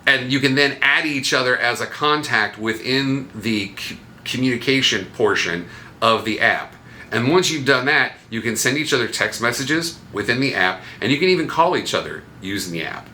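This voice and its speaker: A man's voice: medium pitch, expressive, moderate pace, sharp tone, a little hiss or hum. A man hosting a vlog, talking viewers through travel tips in a casual, conversational way with wry asides. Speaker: vlog host giving cruise travel tips. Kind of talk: casual explainer talk to viewers.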